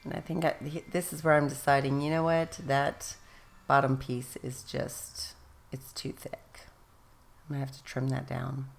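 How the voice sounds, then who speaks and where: A woman's voice: rumbling low, little pitch movement, moderate pace, gravelly. A woman host narrating her scrapbooking process in a casual, rambling way, losing her voice and straining it, often correcting herself. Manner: rambling, self-correcting, chatty.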